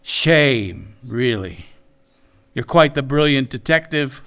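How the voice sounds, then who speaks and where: A man's voice: medium pitch, expressive, slow pace, a bit bright. A man playing a smug, menacing crime boss in a noir radio drama, condescending and mock-polite.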